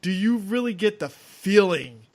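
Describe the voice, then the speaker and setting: Rambling man with a lively, high-ish voice. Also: unhurried. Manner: rambling, leans on fillers such as "and stuff" and "yeah, yeah". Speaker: podcast co-host. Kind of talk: casual movie-review banter.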